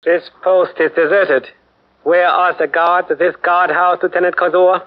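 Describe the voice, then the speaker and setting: Guy playing a gruff army captain, short grunty questions, high-ish voice, dull old radio. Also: flat delivery, normal speed, lightly acted. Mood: curt. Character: gruff male Japanese army captain inspecting. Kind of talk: 1940s radio acting. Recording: muffled 1940s radio broadcast.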